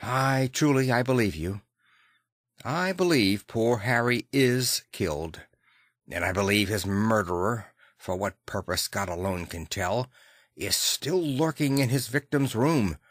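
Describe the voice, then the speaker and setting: A man's voice: on the low side, expressive, unhurried, dry recording, clean studio sound. A man voicing a grave, commanding Victorian lawyer in an audiobook, formal and decisive. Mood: grim resolve.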